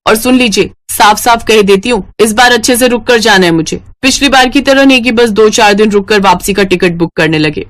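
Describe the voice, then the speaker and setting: A woman's voice: mid-range voice, brisk, few pauses, fairly bright tone, lightly acted. Woman doing bossy complaining big sister in a hindi family story, blunt n entitled. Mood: demanding. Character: demanding, complaining married older sister visiting her brother. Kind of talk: scripted Hindi moral-story acting.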